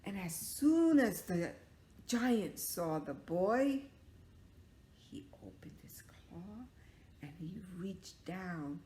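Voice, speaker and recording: Dramatic, slow-paced woman telling children's stories. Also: medium pitch, sing-song, a little hiss or hum. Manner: dramatic, drops into hushed whispers, stretches out words. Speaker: storyteller for young children. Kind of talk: animated children's story reading with questions to listeners. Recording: echoey room.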